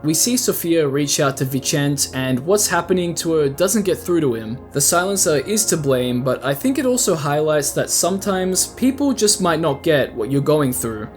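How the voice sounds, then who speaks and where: A man's voice: fairly high pitch, expressive, normal speed, few pauses. A man hosting a video essay, narrating from a script in a conversational, explanatory way that speaks directly to the listener. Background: music under the voice.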